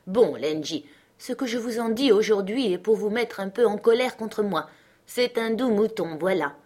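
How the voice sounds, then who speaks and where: A woman's voice: medium pitch, expressive, normal speed, a little mellow, natural voice. Woman acting a fussy, scolding housemaid. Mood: placating. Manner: scolding, exclamatory.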